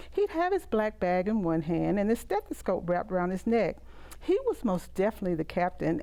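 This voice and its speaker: Female poet, middle-aged, Southern US accent, warm mellow tone, reading and introducing her own work. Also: mid-range voice. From Mississippi, United States. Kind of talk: poetry recital with spoken introductions.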